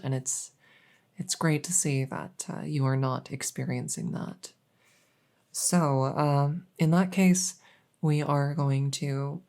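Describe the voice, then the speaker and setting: Low, creaky, unhurried woman playing a reassuring vet. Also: a little mellow. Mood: reassuring, explanatory. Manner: hesitant, explanatory, reassuring. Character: kindly, rambling female vet for supernatural patients. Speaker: voice roleplay performer. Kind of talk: ASMR audio roleplay.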